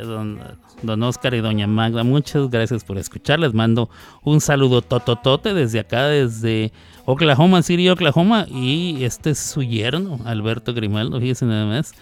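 Chatty Mexican man hosting radio. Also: medium pitch. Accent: northern Mexican. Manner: chatty, laughs often, playful asides. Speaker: radio host. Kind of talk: casual live radio chat.

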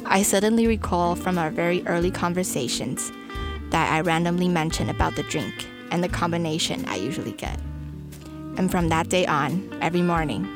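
A young adult woman from China telling personal stories casually as an interview guest. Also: fairly low pitch.

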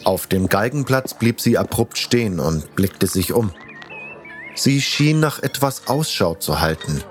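A man's voice: fairly low pitch, animated, measured pace. A man narrating a fantasy audio story in the first person, reading from a script as its protagonist. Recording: faint music behind the voice.

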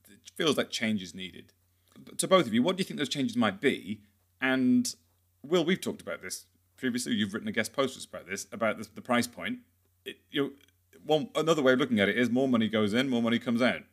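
A man's voice: medium pitch, lively intonation, brisk. A man hosting a podcast, putting questions in a conversational, tentative way and rephrasing as he goes. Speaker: podcast host, interviewer. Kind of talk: conversational interviewing.

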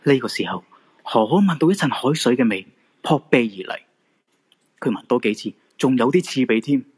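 A man acting as a radio drama narrator tells a ghost story in Hong Kong Cantonese. His pitch swings widely and the voice is fairly high, with a rough, husky edge. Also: expressive, moderate pace, a little mellow. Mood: suspenseful storytelling.

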